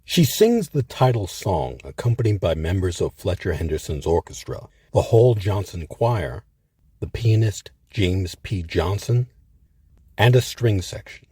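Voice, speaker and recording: Husky, expressive low-voiced male narrator. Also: unhurried, dry recording, studio quality. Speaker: host and narrator of a history series. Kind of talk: scripted documentary narration.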